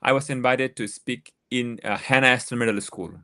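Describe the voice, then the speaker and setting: A man with a Nepali accent hosting a live-streamed talk show, halting over his words with many uhs as he asks questions. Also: medium pitch, fairly even intonation, bright, forceful tone. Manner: halting, with many uhs, switches between languages.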